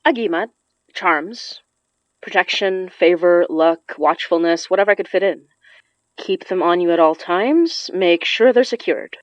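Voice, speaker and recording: A woman's voice: lowish voice, measured pace, dry recording, lo-fi sound, natural voice. Actress as a dry, weary young witch. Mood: businesslike. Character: tense, tired young woman healer-witch, wry and protective. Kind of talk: naturalistic audio drama acting.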